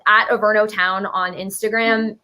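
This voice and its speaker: A woman's voice: mid-range voice, steady tone, moderate pace, sharp tone, rough recording. Young woman, mid-range voice, informal and gushing interview guest, casual conversational delivery. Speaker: musical writer, interview guest. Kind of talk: casual enthusiastic chat.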